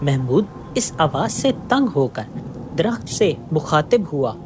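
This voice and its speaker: A narrator telling a scripted moral story in an expressive, audiobook-like way. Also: mid-range voice, slow, background noise. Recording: music behind the voice. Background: background music.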